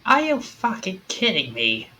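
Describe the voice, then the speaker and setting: A man streaming a game, giving animated, sweary live commentary as he plays. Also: high-pitched, a little slow, slight background noise. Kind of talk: live playthrough commentary with read-aloud acting.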